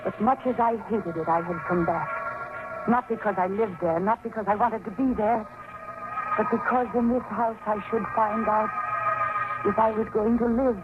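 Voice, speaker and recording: An actress playing a bitter, desperate, haunted daughter in a 1940s radio drama, intense and dramatic. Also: female voice, mid-range voice, fairly even intonation, normal speed, over loud music, close to an everyday voice. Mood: brooding, haunted. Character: bitter, desperate adult daughter haunted by her father's death. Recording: muffled, noisy 1940s radio recording.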